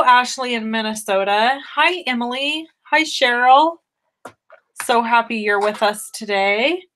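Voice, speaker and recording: A middle-aged woman, a little raspy from a cold, hosting a casual live stamping tutorial and greeting viewers by name. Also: a little high, a bit bright.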